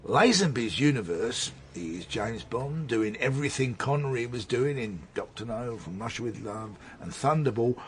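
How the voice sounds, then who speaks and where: A man's voice: mid-range voice, a bit bright, a little hiss or hum. A British man playing an opinionated, wry middle-aged police detective in a radio drama, with an insistent, mock-pompous way of talking. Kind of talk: naturalistic radio drama dialogue.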